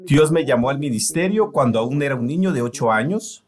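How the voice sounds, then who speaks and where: A man's voice: mid-range voice, animated, a little fast, a little mellow. A man preaching a sermon in an earnest, emphatic way, voicing it as a translation.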